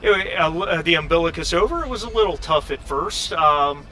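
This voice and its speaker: A man acting as game master in a tabletop role-playing game, explaining rules and scenes in a halting way with repeated starts. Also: fairly high pitch, animated, sharp tone. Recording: faint background noise.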